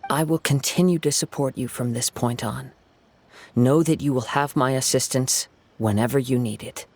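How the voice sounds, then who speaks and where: An actor playing a gentle, reflective young wandering swordsman in a video game, speaking in a measured, formal, contemplative way. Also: medium pitch, lightly acted. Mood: calm, supportive.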